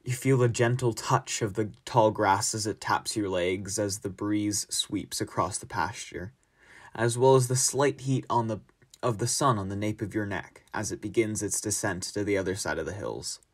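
A man's voice: lowish voice, normal speed. A young man, a student narrator, reading a scripted descriptive piece. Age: young adult.

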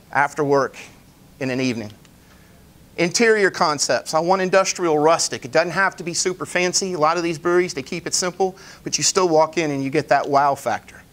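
A man in his forties presenting a business pitch live in a meeting room, plain-spoken, in short emphatic phrases. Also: a bit bright. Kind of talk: informal live presentation with slides. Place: indoor meeting room, standing at a lectern.

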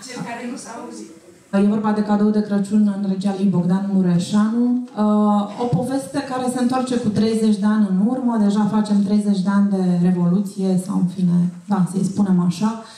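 A woman's voice: medium pitch, mellow. A middle-aged woman moderates a stage Q&A informally, thinking aloud with hesitations. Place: auditorium stage, indoors. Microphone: handheld microphone through PA. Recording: echoey hall, distant from camera.

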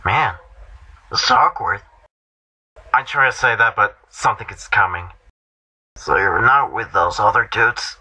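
Guy doing brash wisecracking cartoon superhero lines, really bright punchy voice, amateur voice acting. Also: on the low side, measured pace, long pauses, husky. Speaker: amateur voice actor. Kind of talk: acted character voice clips for a fan cartoon.

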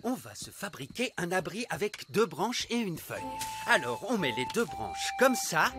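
Cartoon elf father and scout leader: earnest, exasperated, emphatic, very lively swoops, music underneath. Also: high-pitched, moderate pace. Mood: insistent, instructive. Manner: emphatic, instructive. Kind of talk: animated children's cartoon dub acting.

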